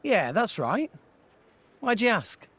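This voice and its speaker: An actor voicing an eager, energetic young salvager. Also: male voice, high-pitched, slow pace, slightly rough, heavily acted. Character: cheerful young male salvager. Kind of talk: video game voice acting.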